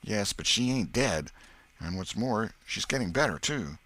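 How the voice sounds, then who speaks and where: A man's voice: lowish voice, expressive, a little slow, a bit bright, voice pushed up. A man voicing a wary, gloomy country boy with a drawling rural Southern American dialect in an audiobook. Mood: earnest. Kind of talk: audiobook character acting.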